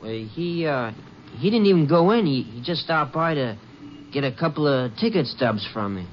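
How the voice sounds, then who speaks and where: A man's voice: medium pitch, expressive, natural voice. Man playing jittery american teen boy, stammery n sheepish, old radio drama, muffled sound. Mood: nervous, reluctant. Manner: halting, sheepish. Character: nervous, reluctant high-school boy ticket taker. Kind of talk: 1950s radio drama acting. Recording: dull old radio recording, faint noise.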